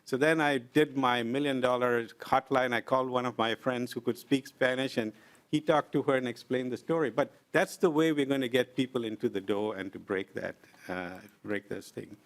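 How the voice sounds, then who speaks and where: A middle-aged man testifying before a legislative committee. He speaks in an earnest, deliberate way and pauses often with "uh". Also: mid-range voice. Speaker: invited presenter at a legislative committee. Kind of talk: formal testimony, explaining with examples. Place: legislative committee hearing room.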